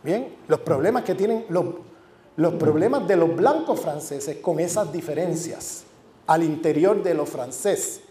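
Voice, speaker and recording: Guy professor lecturing, kinda high voice, hammers points with lists, roomy echoey hall. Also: expressive, measured pace, warm tone, a little hiss or hum. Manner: emphatic, repeats and lists words for stress. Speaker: university professor giving a lecture. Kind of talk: academic lecture.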